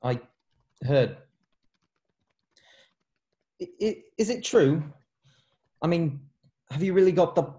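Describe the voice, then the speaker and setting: A man's voice: fairly high pitch, animated, slow pace, long pauses, warm tone. A man playing a frank, chatty young wizard boy in a table read, with a casual delivery. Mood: awed, eager. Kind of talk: online table read of a screenplay.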